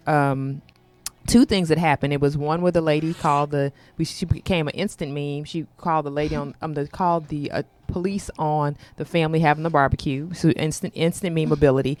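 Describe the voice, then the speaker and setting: A woman's voice: deep voice, no room sound. A woman from New York hosting a podcast discussion, chatting loosely and often repeating words as she starts her thoughts. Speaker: podcast host. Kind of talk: casual discussion with read sponsor segment. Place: Houston.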